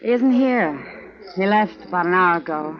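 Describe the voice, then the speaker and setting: A woman's voice: fairly low pitch, animated, moderate pace, lightly acted. An actress playing a worried saloon woman in a 1950s radio western, speaking with intimate concern. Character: worried saloon woman, tender toward the marshal. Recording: muffled old radio broadcast.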